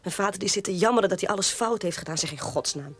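An actress playing a weary, proud sister in a radio drama, speaking in a measured way. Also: female voice, mid-range voice, fairly bright tone, breathy edge. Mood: defensive. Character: weary, proud sister defending her disgraced father.

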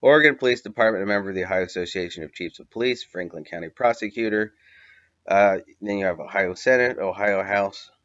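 A man narrating a video essay in an unscripted, halting way, with many fillers and long spoken lists. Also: on the low side, fairly bright tone, no echo, muffled recording. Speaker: commentator.

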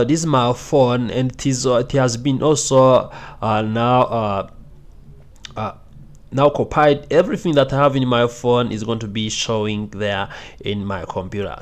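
A man giving a screen-recorded software walkthrough as a tech tutorial host, talking in a halting way with many fillers and repeated phrases. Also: medium pitch.

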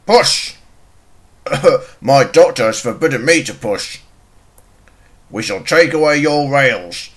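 A man voicing a stout, stern railway controller from a children's cartoon, pompous and commanding. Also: a little high.

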